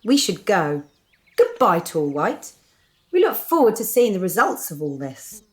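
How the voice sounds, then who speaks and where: A woman acting in a radio drama as a sensible club member with a brisk, wry manner. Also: medium pitch, very animated. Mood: wry, composed.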